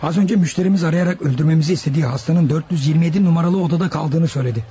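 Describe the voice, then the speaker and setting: An actor playing a confident, commanding leader of hired killers in a Turkish radio drama. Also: male voice, a little high, mellow, dull audio, close to an everyday voice. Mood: confident, plotting. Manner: brisk, commanding. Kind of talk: Turkish radio theatre acting. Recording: noisy old radio drama recording.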